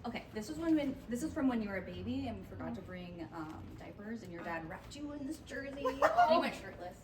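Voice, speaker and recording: An echoey, distant recording with audience behind presents a brash but sentimental mother acted by a woman at a comedy read. She is emphatic and earthy, her voice lively in its rises and falls. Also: on the high side, fast, a crowd in the background. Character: brash, sentimental widowed mother of a football family.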